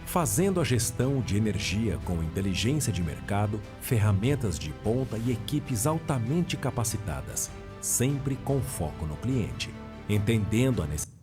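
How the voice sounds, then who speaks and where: A man with a low voice reads a corporate promotional script over clear background music. His delivery is measured and emphatic, with a lively rise and fall. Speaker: corporate video narrator.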